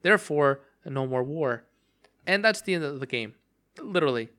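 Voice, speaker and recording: A man's voice: fairly high pitch, animated, normal speed, pushed and bright. A young man hosting a casual solo podcast about a game, rambling with lots of fillers and restarts, his voice strained and cracking from long talking. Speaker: podcast host.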